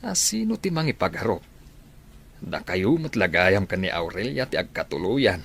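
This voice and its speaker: An actor with a Filipino accent playing a supportive, teasing older best friend in a radio drama, chummy and conversational. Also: male voice, low voice, sing-song, moderate pace, gravelly, fairly bright tone, breathy edge, heavily acted.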